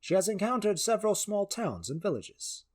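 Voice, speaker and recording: A man's voice: high voice, very animated, moderate pace, a little mellow. A man narrating a scripted fantasy story as its storyteller.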